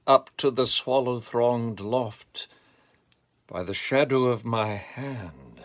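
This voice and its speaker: A man with a gravelly voice speaking in a deliberate, measured way, as a poetry reader reflecting and reciting expressively. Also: mid-range voice. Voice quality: gravelly, creaky voice.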